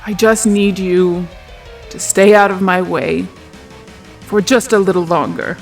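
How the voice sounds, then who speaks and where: A performer playing an obsessive, secretive, wounded male genius in a hushed, intense, halting manner for a tabletop roleplay. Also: mid-range voice, fairly even intonation, soft background music, close to an everyday voice. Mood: strained, intense.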